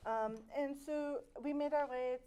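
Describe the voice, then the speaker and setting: A woman's voice: high-pitched, fairly even intonation, measured pace, dark tone. A woman playing a vain aristocratic lady with a French accent, telling a dramatic story with many fillers. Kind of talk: improvised comedy scene.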